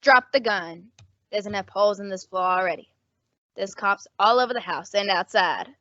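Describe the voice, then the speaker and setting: A hard-bitten mother of the underworld voiced by a woman, blunt and drily wry. The voice sits at a middle pitch, in a radio-play style on a dull recording. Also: normal speed, fairly bright tone, dry recording, lightly acted. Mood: commanding. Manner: blunt, slangy, dry. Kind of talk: old-time radio drama acting. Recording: dull, dry lo-fi audio.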